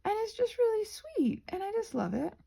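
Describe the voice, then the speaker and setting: A woman's voice: extremely high pitch, sing-song, normal speed, vocal fry, mellow. A woman chatting casually and at length in a digressive way, often correcting herself and restarting her thoughts. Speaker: host of a rambling craft vlog. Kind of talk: casual rambling chat.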